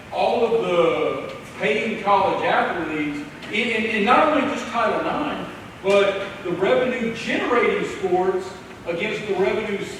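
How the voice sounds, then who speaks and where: Loud joking moderator, high voice. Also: male voice. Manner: jokey, loud, quick asides. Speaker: sportswriter moderating the panel. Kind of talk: moderating a panel. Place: large hall. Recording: echoey hall from far off, faint noise.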